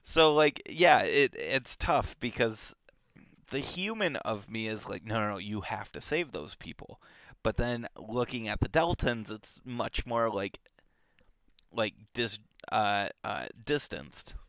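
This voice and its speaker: Bright, crisp-voiced man chatting. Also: on the low side, takes it slowly, dry recording. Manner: animated, lots of fillers and restarts. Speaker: book club podcast host. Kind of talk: casual book discussion.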